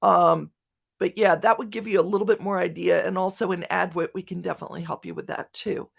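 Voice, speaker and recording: A woman with a Philadelphia American accent answering questions as a guest speaker, animated and halting, often restarting her sentences. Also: lowish voice, gravelly, breathy edge. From Philadelphia, United States. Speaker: guest speaker, podcast writer. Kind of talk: conference question-and-answer talk.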